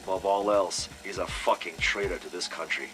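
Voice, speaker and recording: Male actor as stern intelligence team leader, low-pitched, controlled and serious, game audio log. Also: fairly even intonation, brisk, over music, close to an everyday voice. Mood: stern, worried. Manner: controlled, grave.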